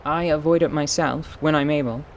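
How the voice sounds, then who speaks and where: A man voicing a battle-worn, winged fantasy mercenary in an audio drama, stoic and wry, speaking in a dry, formal, deliberate way. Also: a little high, steady tone, a little hiss or hum, natural voice. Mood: stoic, explanatory.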